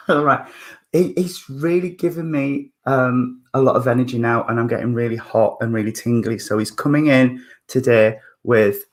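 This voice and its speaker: Man, 50s, husky, medium at a service, halting and searching. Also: medium pitch, fairly even intonation. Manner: halting, thinking aloud with many fillers and restarts. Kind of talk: spiritualist mediumship reading.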